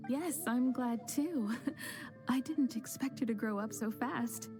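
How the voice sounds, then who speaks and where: A woman voicing a kindly, soft-spoken young mother who talks politely and reassuringly, over game music. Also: a little high, takes it slowly. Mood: gentle. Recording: game audio with music behind.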